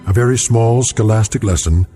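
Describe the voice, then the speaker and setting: An actor narrating a dramatic anthology show in a grave, measured, portentous manner. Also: male voice, fairly low pitch, dark tone, natural voice. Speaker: host narrator. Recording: music behind the voice.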